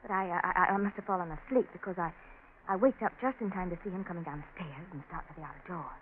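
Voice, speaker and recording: An American actress playing a nervous, scheming young woman who protests her innocence, halting and melodramatic, in 1940s radio style. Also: on the low side, natural voice. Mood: anxious, halting. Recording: noisy narrow-band 1940s radio.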